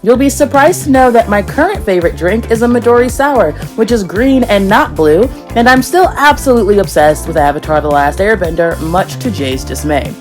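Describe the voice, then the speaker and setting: A woman's voice: medium pitch, animated, brisk, few pauses, faint music behind. A woman recording a playful, upbeat voice message for a podcast. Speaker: returning podcast guest.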